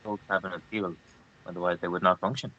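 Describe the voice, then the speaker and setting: A man's voice: sits low, level tone, quick pace, pushed and bright, highs cut off. A man playing an earnest, brooding and loyal local man in a screenplay table read.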